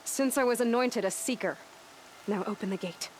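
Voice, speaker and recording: A woman's voice: fairly high pitch, normal speed, darkish tone, slight background noise, natural voice, rough recording. A woman playing a determined, blunt young outcast warrior in a video game cutscene. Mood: defiant. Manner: firm, direct.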